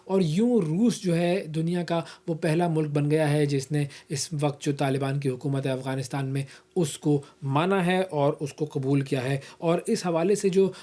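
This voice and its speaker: A man's voice: on the high side, dark tone. A man with a Pakistani accent hosting a news programme, explaining events to viewers in a conversational way. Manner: conversational, explanatory, with repeated filler phrases. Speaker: news show host. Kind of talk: news analysis presented to viewers.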